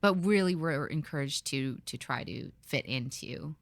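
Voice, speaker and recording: A woman with a notably low voice hosts a podcast interview. She talks in a relaxed, reflective way with easy fillers. The sound is dry and close. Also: measured pace, no room sound. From Philippines. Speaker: podcast host. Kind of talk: conversational interview.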